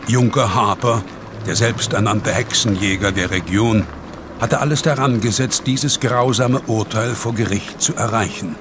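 A man narrating a horror radio play in a solemn, measured, dramatic storytelling style. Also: lowish voice, background noise. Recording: dramatic music behind the narration.

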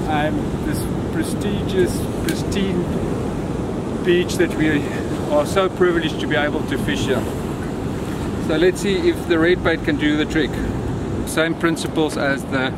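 A man with a South African accent explaining fishing spots outdoors on a rocky seashore, recorded on a camera mic. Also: fairly high pitch. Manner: relaxed explanatory talk while walking the rocks. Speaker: angler guide. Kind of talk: on-location fishing tips. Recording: loud wind and surf noise outdoors. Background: wind and crashing waves.

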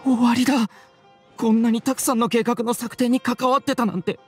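An actor playing an anxious, self-blaming young student in an anime game, with a husky, breathy voice. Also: male voice, extremely high pitch, steady tone, fairly quick. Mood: dismayed. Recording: soft music behind.

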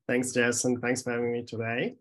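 A man's voice: mid-range voice, fairly bright tone. A man in his thirties with a German accent, giving a hesitant academic talk over a video call from a small office, with many ums and restarts. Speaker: research scientist.

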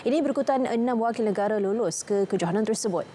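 A young woman presenting sports news in a TV studio, reading a script in a steady, formal way. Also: medium pitch, quick pace, slight background noise. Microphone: studio mic. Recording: news music bed underneath.

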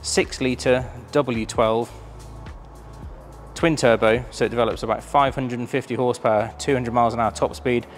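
A man's voice: mid-range voice, fairly even intonation, moderate pace, soft background music. A man, chatty and enthusiastic, presenting a car auction preview in a large warehouse showroom. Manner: enthusiastic, chatty, filled with ums.

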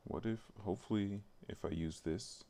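A man doing casual live stream commentary, thinking aloud in a halting, muttering way while working at a computer. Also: on the low side, warm tone, slight background noise. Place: indoors at a computer.

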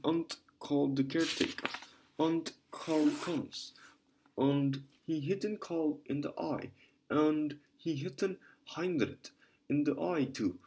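A man reading aloud voicing a flustered, fawning German landlady who complains in a rapid patter with broken grammar and a strong German accent. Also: a little high, low-quality recording.

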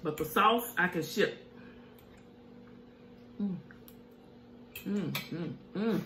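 A woman's voice: lowish voice, very slow, fairly bright tone. A middle-aged woman, chatting casually as she eats and hums over her food while hosting a home cooking show. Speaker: mukbang cooking show host. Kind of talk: casual chat while eating. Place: home kitchen, indoors. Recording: echoey room with faint hiss.

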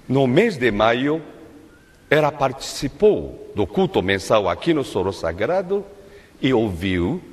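Slow, measured older preacher in a hall. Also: male voice, mid-range voice. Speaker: minister giving a testimony-based sermon. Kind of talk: sermon. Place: large indoor worship hall, at a lectern on stage. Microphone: lectern microphone. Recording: long reverb of a large hall.

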